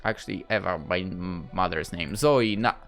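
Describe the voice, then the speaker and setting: A man's voice: deep voice, moderate pace, a bit bright, soft background music. A man giving casual, playful, rambling playthrough commentary on a game. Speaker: gaming video creator playing his visual novel. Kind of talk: casual playthrough commentary, reading dialogue aloud.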